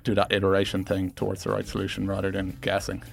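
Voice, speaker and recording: A man being interviewed, explaining his work casually in thoughtful, halting phrases. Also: low-pitched, monotone, creaky, over music. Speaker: engineering executive being interviewed. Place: podcast studio.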